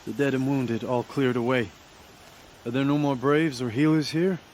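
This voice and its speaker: A man's voice: medium pitch, fairly even intonation, normal speed, a little mellow, slight background noise, natural voice. Strained, wounded male warrior played by an actor. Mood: pained, weary. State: hurt, strained. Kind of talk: video game voice acting, dramatic dialogue.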